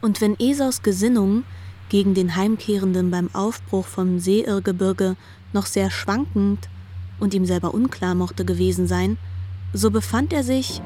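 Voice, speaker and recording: A woman's voice: medium pitch, natural voice. A woman narrating a literary radio drama in formal, articulate prose delivery.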